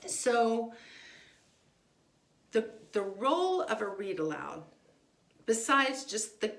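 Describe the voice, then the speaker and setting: A woman's voice: a little high, sing-song, slow, fairly bright tone. A middle-aged woman gives an informal instructional talk, speaking conversationally and leaning on repeated words for emphasis. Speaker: homeschool instructor. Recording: echoey, roomy sound.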